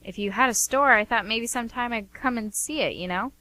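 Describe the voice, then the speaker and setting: A woman's voice: on the high side, a little fast, bright, forceful tone, dry recording. A woman playing a doting, inquisitive older sister, chatting insistently in an amateur radio drama. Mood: curious, proud. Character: doting, nosy older sister of a grown man.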